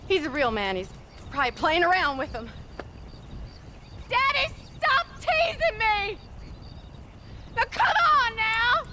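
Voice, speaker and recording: A woman playing a sharp-tongued rancher's daughter, sarcastic and haughty, with an American accent in a western video game. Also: extremely high pitch, big pitch swings, slow pace, pauses often, a little hiss or hum, full character voice. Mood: brittle cheer.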